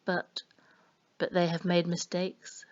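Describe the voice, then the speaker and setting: A woman's voice: fairly low pitch, completely flat delivery, slow, lo-fi sound. A woman voicing an uneasy, earnest young boy in a storybook, answering briefly and hesitantly. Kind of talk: children's storybook reading.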